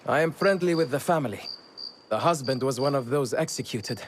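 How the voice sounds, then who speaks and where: A man playing a chatty, excitable informant in a video game, speaking politely and confidingly. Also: mid-range voice, a little fast, slight background noise, natural voice. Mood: confiding, eager. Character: chatty, excitable male informant, fond of intrigue. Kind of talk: dramatic video game acting.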